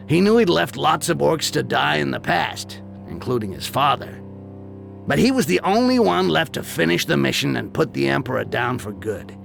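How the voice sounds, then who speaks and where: A man voicing a cynical, foul-mouthed goblin mercenary in a video game, gravelly and sardonic. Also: on the high side, fairly bright tone, exaggerated voice. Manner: sardonic, streetwise, crude. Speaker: character narrator.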